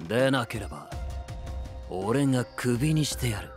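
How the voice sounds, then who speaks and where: An actor playing an arrogant, commanding young strategist in an anime voice drama. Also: male voice, medium pitch, animated, moderate pace, a little mellow, soft background music, close to an everyday voice. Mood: haughty scheming. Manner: haughty, commanding. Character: arrogant, ruthless young warlord strategist commanding his squad.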